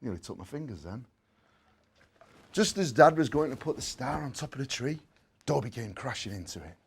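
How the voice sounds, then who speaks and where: Male story reader, mid-pitched, highly varied intonation, expressive delivery with playful asides. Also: moderate pace, breathy edge. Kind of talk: animated children's storytelling.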